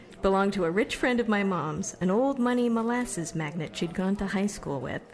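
Audio drama role: young American woman, eager for fame, casual and self-deprecating, mid-range voice, conversational monologue. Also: mid-range voice. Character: chatty young Somerville woman set on becoming famous. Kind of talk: conversational stage storytelling monologue.

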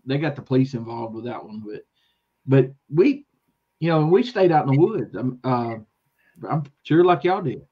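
A man's voice: mid-range voice, moderate pace, husky. A middle-aged man hosting an interview, talking casually over a video call. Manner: relaxed, brief backchannel listener. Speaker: host. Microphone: webcam on a video call. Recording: dull lo-fi video call audio.

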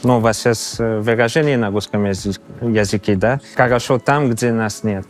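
A man in his thirties from Canada with a Canadian accent, speaking a learned second language with pauses and fillers in an interview. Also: fairly low pitch, soft background music. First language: not Russian. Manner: halting, searching for words with many fillers. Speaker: interview guest. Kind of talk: casual interview answers. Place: exhibition hall indoors. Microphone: lapel.

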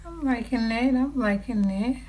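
A woman, hoarse from losing her voice, talking softly through a casual makeup tutorial in a small room, close to the camera. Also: fairly high pitch, normal speed, a little hiss or hum, low-quality recording. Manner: soft-spoken, drops to a near whisper. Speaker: beauty vlogger. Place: small dark room indoors. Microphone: camera close to the face.

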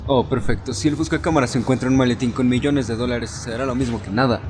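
A loyal, nerdy, slightly anxious male sidekick from an adventure game fan dub, played by a man. He has a medium-pitched voice and a Latin American accent, with faint background noise. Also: fast, natural voice. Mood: concerned, wry.

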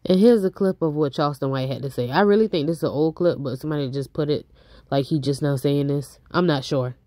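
A woman hosting a casual celebrity gossip channel, talking fast with a stuffy nose and a bit out of breath. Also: low voice, mellow, no echo, studio quality. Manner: chatty, fast, reads out posts. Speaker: YouTube host.

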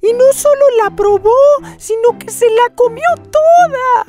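A woman voicing a whiny, tearful little baby bear in a children's cartoon, childlike and squeaky. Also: loud music behind the voice. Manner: slow, tearful whining.

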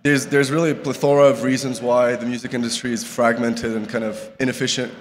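A young man gives a relaxed conference talk from a podium microphone. He talks loosely, restarting phrases often. His voice rings out in a large hall with a faint hum of ambience. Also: mid-range voice, brisk. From Morocco. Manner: casual, many restarts and fillers. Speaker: conference speaker. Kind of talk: live conference talk with slides. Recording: reverberant hall, faint background noise.

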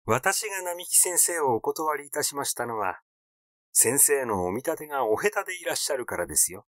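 A man's voice: medium pitch, very animated, a little fast, fairly bright tone, no echo. Man voicing a genteel, cutting married lady, dry sound. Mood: cool, pointed. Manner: polite, formal, pointed.

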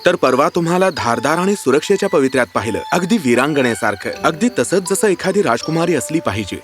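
An actor playing an earnest, charming young prince with a courteous, romantic manner in a fairy-tale cartoon. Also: male voice, a little high, animated, fast talker, soft background music, close to an everyday voice. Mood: courteous, teasing.

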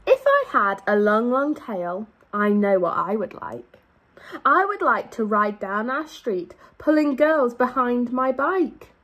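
Young woman, high animated storytime voice. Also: dull audio. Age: young adult. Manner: expressive, drawn-out emphasis on key words. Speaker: primary school teacher. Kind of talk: children's storybook read-aloud.

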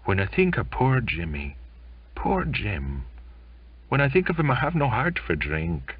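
A man's voice: on the low side, huge pitch swings, slow pace, no echo, dull audio, voice pushed up, full character voice. A man voicing a drunk, tearful sailor who stammers and sobs in a maudlin tone. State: drunk, crying.

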